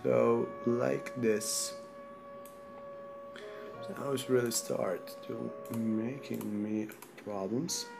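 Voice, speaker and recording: A man from Serbia with a Serbian accent, sick, talking through a software tutorial in halting non-native English while he clicks. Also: mid-range voice, fairly even intonation, gravelly, music in the background. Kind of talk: screen-recorded software tutorial.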